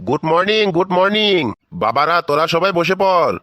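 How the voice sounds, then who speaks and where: A man's voice: high voice, animated, moderate pace, background noise. A man voicing a fatherly but exasperated school official addressing children in a comedy cartoon, with exaggerated delivery. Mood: announcing. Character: exasperated adult man, schoolteacher and visiting company manager.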